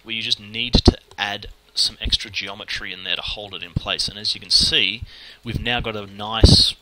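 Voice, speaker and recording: A man with an Australian accent talking fast and casually through a screen-recorded modelling tutorial, muttering at the software as he works. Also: lowish voice, fairly even intonation, background noise. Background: keyboard typing and mouse clicks.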